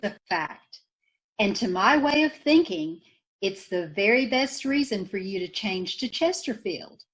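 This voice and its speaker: A woman's voice: medium pitch, lively intonation, unhurried, fairly bright tone, low-quality recording. A woman reading a vintage radio commercial in an earnest, persuasive manner. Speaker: commercial spokesperson.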